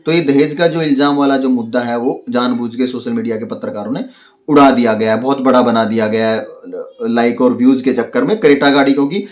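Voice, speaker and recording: A man gives unscripted commentary, explaining and arguing his opinions in halting, searching phrases. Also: medium pitch. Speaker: online commentator analysing a crime case. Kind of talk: unscripted spoken commentary on a crime case.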